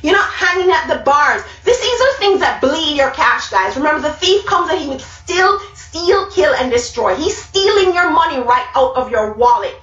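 A woman's voice: sits high, big pitch swings, normal speed, few pauses, fairly bright tone. Emphatic Jamaican woman preaching over a webcam. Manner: emphatic, repeats key words, gestures a lot. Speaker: speaker. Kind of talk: motivational Bible talk to a live group. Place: small room indoors. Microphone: webcam at arm's length. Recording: roomy, distant, dull webcam sound.

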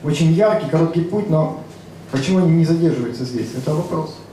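Middle-aged male guitarist, high voice, earnest half-sung talk, echoey club, guitar behind. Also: quick pace, a little hiss or hum. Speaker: singer-guitarist. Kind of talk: talking and reciting between songs. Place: small rock club stage, indoors. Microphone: stage mic on a stand. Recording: echoey live club sound. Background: loud acoustic guitar.

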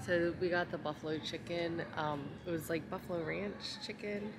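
A woman's voice: lowish voice, normal speed, noisy background. A woman chatting casually as a food vlogger, giving frank, descriptive opinions on what she tastes, thinking aloud as she goes. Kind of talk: casual chat while tasting food. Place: restaurant, public space. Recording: busy restaurant with loud crowd chatter.

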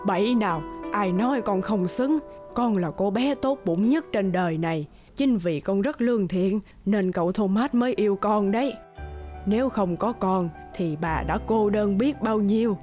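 A woman's voice: mid-range voice, moderate pace, faint music behind, lightly acted. A woman acting a kindly old granny. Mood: concerned, comforting. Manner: gentle, affectionate, chiding fondly. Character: kind, doting, modest old grandmotherly neighbour woman. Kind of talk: cartoon voice acting.

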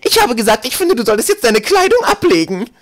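A dotty, prickly old great-aunt from a storybook. The voice is very high, shrill and loud, swooping all over. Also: male voice, big pitch swings, fast. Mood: insistent. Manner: shrill, loud, cooing or snippy. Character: batty old great-aunt, prickly, doting on stuffed weasel.

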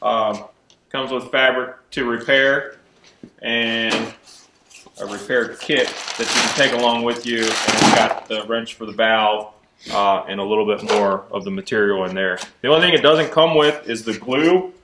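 A man's voice: lowish voice, moderate pace, roomy sound, background noise. A middle-aged man explaining gear in a plain, matter-of-fact way in a workshop. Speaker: kayak maker. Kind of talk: casual explanation. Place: open garage workshop.

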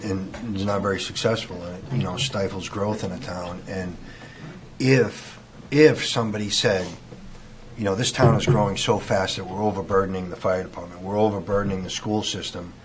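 A man's voice: sits low, fairly even intonation, normal speed. A man who is a board member, debating informally at a public meeting. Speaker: planning board member and property developer. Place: indoor municipal meeting room. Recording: noisy meeting room microphone pickup.

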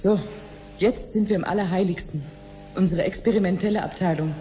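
An actress playing a friendly, patient cancer researcher in a radio drama, explaining things clearly like a teacher. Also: female voice, mid-range voice, fairly quick, dark tone, faint music behind, lightly acted. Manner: didactic, clearly phrased explaining. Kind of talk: 1970s German radio drama acting.

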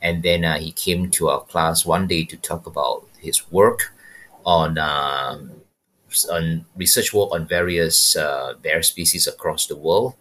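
A man in his fifties with a Malaysian accent, a guest telling his story in a podcast interview, halting and repeating words as he searches for them. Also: a bass voice, pushed and bright. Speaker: guest, wildlife biologist.

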